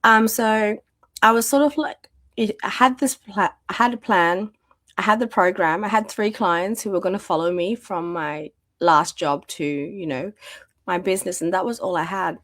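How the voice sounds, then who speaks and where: A woman's voice: medium pitch, normal speed, a bit bright, no room sound, clean studio sound. A woman in her thirties, a guest telling her story in a chatty way with many fillers while losing her voice. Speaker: guest, domestic violence practitioner. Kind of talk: conversational interview storytelling.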